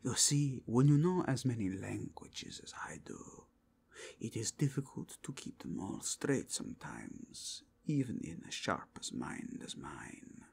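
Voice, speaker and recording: Werewolf inquisitor male performer: slow, gravelly half-whisper, mixed Spanish-tinged foreign accent, formal, zealous, intense ASMR roleplay delivery. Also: medium pitch, big pitch swings, darkish tone, heavily acted. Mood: courteous, probing. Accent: mixed foreign with Spanish touches. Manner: formal, courteous, deliberate, intense. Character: zealous, courtly werewolf inquisitor who sniffs out evil.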